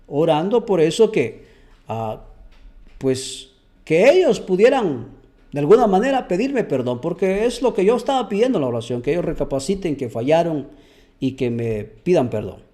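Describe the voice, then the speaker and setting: A man's voice: sits high, sing-song, moderate pace, dark tone. A man giving an earnest testimony and Bible teaching as a preacher, often repeating words as he searches for them.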